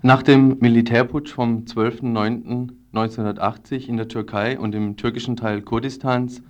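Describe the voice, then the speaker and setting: A man with a medium-pitched voice reads the news on radio. He delivers a prepared political report from a script.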